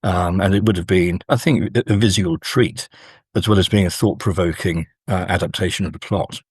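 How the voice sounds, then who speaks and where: Low-voiced male academic, halting and thoughtful. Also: fairly even intonation, breathy edge, no room sound, clean studio sound. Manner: halting, thoughtful, with many pauses mid-sentence. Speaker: guest academic and author. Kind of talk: interview discussion, scholarly explanation.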